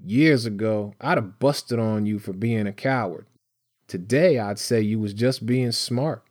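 A man voicing a wiry, sickly, menacing older gun dealer in an audiobook, rough and raspy, with blunt street slang. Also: on the low side, unhurried, a little mellow, dry recording, clean studio sound, natural voice. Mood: weary, knowing.

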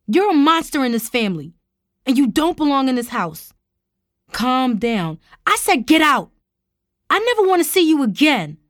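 A woman's voice: mid-range voice, lively intonation, moderate pace, no echo, clean studio sound, natural voice. A woman voicing a fiercely protective young woman who confronts people intensely, in audiobook style storytelling. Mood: furious shouting. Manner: growling, hissing, shouting confrontationally.

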